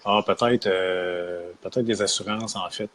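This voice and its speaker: A man's voice: low-pitched, fairly even intonation, measured pace, a bit bright. A man with a Québécois French accent, co-hosting a casual discussion, hesitant and searching for words.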